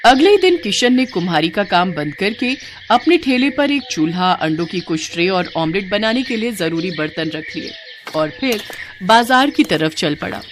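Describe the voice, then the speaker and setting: A woman's voice: medium pitch, very animated, normal speed, noisy background. A woman reading scripted narration for a moral story as its storyteller.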